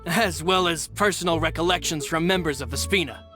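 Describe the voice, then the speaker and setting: A man's voice: on the high side, brisk, close to an everyday voice. An actor playing a polite, loyal male attendant who speaks formally in a game. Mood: courteous. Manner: formal, courteous. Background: game soundtrack music.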